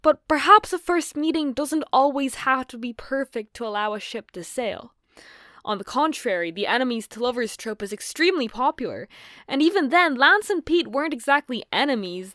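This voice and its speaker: Dry-recorded woman essayist, higher voice. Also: animated, normal speed, fairly bright tone, dry recording. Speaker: video essay co-host. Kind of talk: scripted video essay narration.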